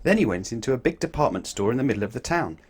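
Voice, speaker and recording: A man reading a children's storybook aloud in an expressive way, putting questions to his young listeners. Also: mid-range voice, very fast, husky. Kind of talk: animated children's storybook narration.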